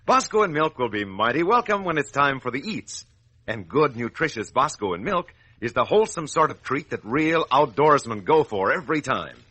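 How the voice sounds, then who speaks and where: Hearty american guy doing old time radio announcing for kids, highish voice, bit husky, very dry sound. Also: pushed and bright, dry recording. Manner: hearty, salesmanlike delivery. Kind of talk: 1940s children's radio announcing and ad reading.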